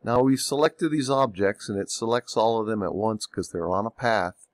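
Male tutorial narrator, slightly stuffy from a cold; low-pitched, dry close mic, relaxed and hesitant. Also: dry recording. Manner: unhurried, thinking aloud with ums and self-corrections. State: getting over a cold. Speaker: software tutorial instructor. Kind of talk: screen-recorded software tutorial.

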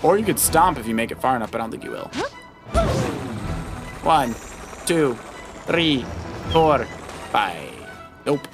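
A man gives casual, encouraging play-by-play on a video game, coaching as he goes. His pitch sits in the middle range, and game music plays clearly underneath his talk. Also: lively intonation, measured pace, pauses often. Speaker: dad co-hosting a Let's Play. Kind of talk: casual gameplay commentary. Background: loud video game music.

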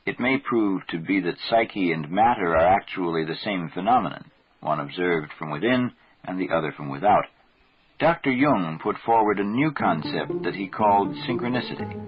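A man's voice: deep voice, animated, normal speed, slightly rough. A man delivering formal, scripted documentary narration. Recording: dull archival audio under loud music.

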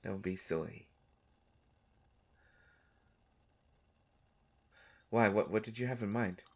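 A man voicing an affectionate, mischievous vampire doctor in an intimate romantic audio roleplay, speaking in a halting, teasing way. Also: fairly low pitch. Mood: playfully exasperated. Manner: halting, teasing, soft-hearted speech. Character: gentle, mischievous male vampire doctor, centuries old, affectionate.

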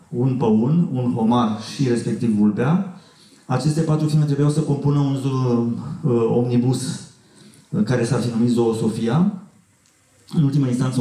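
A man's voice: medium pitch, dark tone. A middle-aged man addressing an audience informally from a festival stage, in a wry, digressive manner. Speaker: film director at festival Q&A. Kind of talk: informal spoken presentation to an audience. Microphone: handheld mic, heard from far camera. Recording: echoey hall, distant camera pickup.

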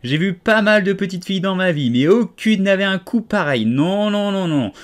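A man voicing a shrill, paranoid pigeon guarding its eggs, lamenting and scornful by turns. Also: lively intonation, takes it slowly, warm tone, exaggerated voice. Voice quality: shrill, strained cries. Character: shrill, paranoid, wailing female-nest pigeon.

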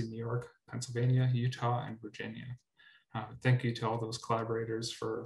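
Young male researcher, mid-pitch, webinar delivery, stumbles and repeats, roomy webcam sound. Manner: repeats words often, many ums. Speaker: researcher presenting at a grower meetup. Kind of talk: webinar presentation. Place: indoor room. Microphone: webcam on video call. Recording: roomy echo.

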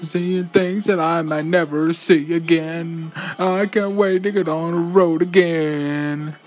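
A woman performs an amateur country revue fandub at a very slow pace. Her voice sits slightly low, and music plays clearly behind her. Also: fairly even intonation, darkish tone. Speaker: amateur fan dubber performing a bear stage revue. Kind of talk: hobby fandub of a country music show, singing and character lines.

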